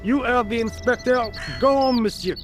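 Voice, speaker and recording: An actor playing a weary, condescending French police sergeant in a video game, formal and droll, with a French accent. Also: male voice, very high-pitched, normal speed, over loud music. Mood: dismissive. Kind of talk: video game dialogue acting.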